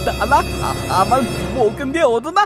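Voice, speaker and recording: An actor voicing an angry, flustered man with a stammer in a comedy cartoon, over loud music. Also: mid-range voice, expressive, normal speed, lightly acted. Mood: agitated. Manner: stammering, agitated.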